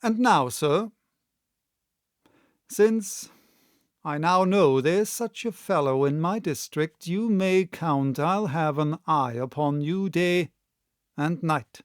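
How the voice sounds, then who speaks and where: A man voicing a calm, stern gentleman doctor and magistrate in an audiobook, speaking clearly and with composed authority. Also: a little high, slow, pauses often, no echo, clean studio sound. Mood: calm stern warning. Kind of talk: audiobook character voice.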